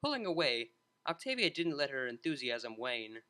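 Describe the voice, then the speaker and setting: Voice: medium pitch, big pitch swings, moderate pace, bright, forceful tone, smooth. A narrator reading a scripted story aloud in prose.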